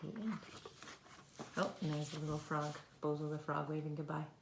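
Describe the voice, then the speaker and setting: A woman reading a children's storybook aloud in an expressive way, talking directly to young listeners. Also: sits low, normal speed, heavy background noise.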